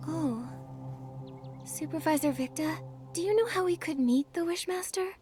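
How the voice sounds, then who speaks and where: A woman's voice: high-pitched, normal speed, darkish tone, close to an everyday voice. A woman voicing a small, polite, inquisitive companion creature in a video game, with a cute manner. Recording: prominent background music. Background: game music.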